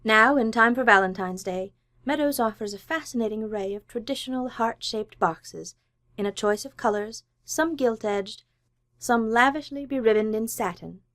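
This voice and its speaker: Dry close sound, female announcer, slightly high voice, scripted vintage radio ad. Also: moderate pace, a bit bright, no room sound. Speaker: sponsor announcer.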